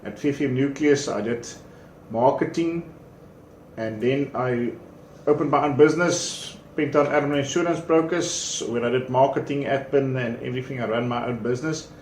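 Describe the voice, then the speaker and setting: Halting South African man in his fifties. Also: mid-range voice, a little mellow. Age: 50s. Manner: halting, with many fillers and restarts. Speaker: small business owner presenting a service. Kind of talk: casual promotional talk and self-introduction. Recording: echoey room with faint background noise.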